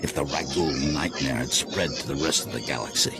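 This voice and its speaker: A man playing a grave, grateful official in a video game, with a gravelly voice. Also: extremely low pitch, brisk. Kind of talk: video game cutscene acting. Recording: loud music behind the voice.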